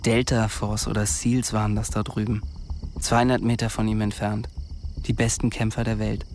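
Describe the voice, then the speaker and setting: A man's voice: on the low side, level tone, normal speed, a little mellow, a little hiss or hum. A man reading scripted literary narration for a radio drama.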